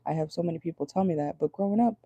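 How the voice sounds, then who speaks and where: A woman with a low voice giving a casual tarot reading from home, chatty and rambling, often checking in with her viewers. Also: racing, warm tone, no room sound. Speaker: tarot reader. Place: at home indoors at night.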